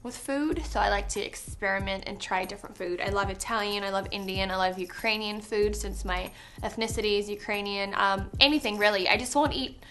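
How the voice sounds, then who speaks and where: A young woman from Canada answering interview questions on set in a chatty, giggly way with lots of pauses and ums. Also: mid-range voice, fairly bright tone, a little hiss or hum.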